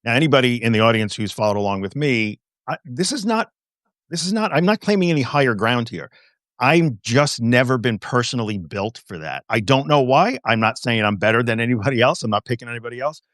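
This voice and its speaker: A man talks candidly as a therapist guest, his voice sitting at a middle pitch. He speaks with blunt emphasis and steady conviction. The room sound is dry and close. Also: a little fast, dry recording. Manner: frank and emphatic. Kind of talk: conversational interview.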